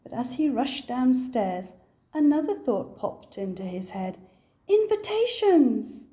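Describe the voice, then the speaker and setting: An older woman reading a children's picture book aloud as a storyteller, with a deliberate, expressive delivery. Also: a little high.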